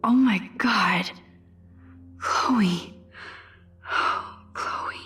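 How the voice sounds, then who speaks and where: A young woman with an American accent voicing an introspective, wry teenage student in a video game, musing to herself in a hushed voice. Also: medium pitch, extremely slow, faint music behind. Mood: troubled, disgusted. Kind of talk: game character thinking aloud.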